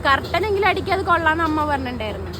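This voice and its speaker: High-pitched young woman, insistently pleading. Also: big pitch swings, racing, background noise. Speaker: prank caller posing as a tailor's customer. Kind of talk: improvised prank phone call.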